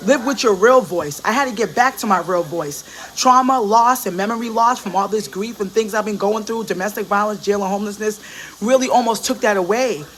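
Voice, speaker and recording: A woman's voice: medium pitch, slight background noise, low-quality recording. A woman delivering an earnest, preachy motivational monologue, urging women again and again to speak up for themselves.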